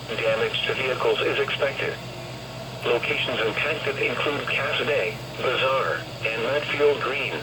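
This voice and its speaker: A synthetic male voice reading a formal scripted warning bulletin impersonally over a weather radio. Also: medium pitch. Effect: weather radio broadcast. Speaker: weather radio alert announcer. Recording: radio speaker picked up in noisy surroundings.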